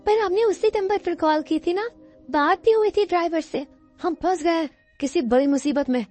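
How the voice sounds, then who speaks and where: Casting: woman performing a young female traveler for horror cartoon, very high voice, jumpy and excitable, over music. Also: moderate pace. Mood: frightened. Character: excitable, easily frightened young female friend on a trip. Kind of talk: Hindi horror cartoon character acting. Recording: music bed behind the voice.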